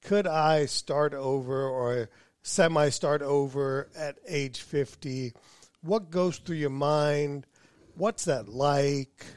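A man's voice: fairly high pitch, slow, a little mellow, smooth, no room sound, clean studio sound. A man in his forties hosting a podcast interview, rambling a little and correcting himself as he asks questions. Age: 40s. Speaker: podcast host and dentist. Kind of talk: casual interview chat.

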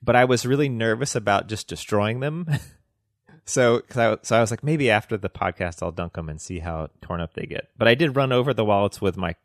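A man co-hosting a podcast, talking casually and haltingly, with lots of fillers. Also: fairly low pitch, lively intonation, no room sound, clean studio sound. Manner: halting, filler-heavy explaining. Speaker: podcast co-host.

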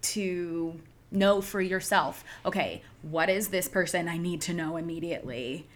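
Low-voiced woman telling candid stories. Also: a little slow. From outside Philadelphia, US. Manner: candid, emphatic storyteller. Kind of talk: casual chat.

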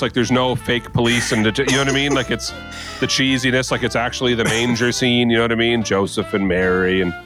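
A man co-hosting a casual podcast, telling a story in an animated way and laughing as he goes. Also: medium pitch. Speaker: podcast co-host.

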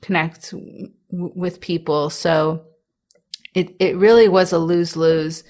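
A young woman with a stutter talks slowly and candidly in a personal interview, her voice sitting a little low. Words catch and restart on their first sounds, and small laughs slip in between her thoughts. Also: steady tone, a little mellow.

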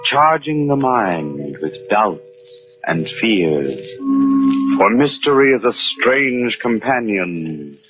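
A man giving scripted 1940s radio narration as an announcer, solemn, ominous and portentous. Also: lowish voice. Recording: very muffled 1940s radio recording. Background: organ music.

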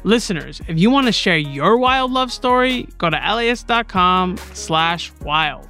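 A woman's voice: mid-range voice, expressive, a little slow, bright, forceful tone, faint music behind. An American woman co-hosting a podcast, reading scripted credits and announcements. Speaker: podcast co-host.

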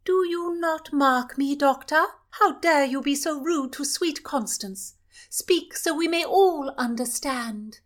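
A woman's voice: sits high, slow, voice pushed up. Woman voicing an imperious young princess. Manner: commanding, theatrical.

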